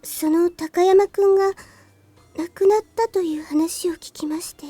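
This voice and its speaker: A polite, sweet schoolgirl from a visual novel, with a very high, mellow voice. She speaks briskly with a teasing streak. The recording is dry and close. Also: fairly even intonation, no echo, faint music behind. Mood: polite, earnest. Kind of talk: Japanese visual novel voice acting.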